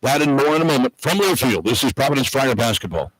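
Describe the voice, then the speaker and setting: A man's voice: mid-range voice, expressive, fast, a bit bright, breathy edge, dry recording, poor audio. A man hosting a postgame basketball radio broadcast, reading out stats as a play-by-play commentator. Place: sports arena broadcast position.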